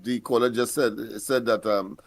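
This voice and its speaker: A man with a Trinidadian accent giving political commentary as a talk show host, speaking in a wordy, formal, halting and deliberate way. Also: mid-range voice, fairly even intonation.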